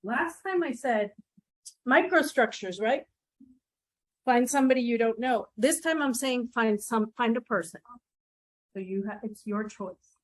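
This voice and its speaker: High-voiced woman leading a class workshop. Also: expressive, measured pace, long pauses, poor audio. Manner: engaging, asks the group questions and repeats their answers. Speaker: workshop presenter. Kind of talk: interactive teaching workshop.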